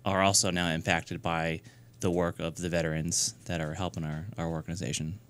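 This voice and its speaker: Man, 30s, from New Hampshire. Low, smooth voice; earnest interview guest who restarts often. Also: little pitch movement, fairly bright tone. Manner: earnest, halting, many fillers and restarts. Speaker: guest, nonprofit founder. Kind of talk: casual radio interview.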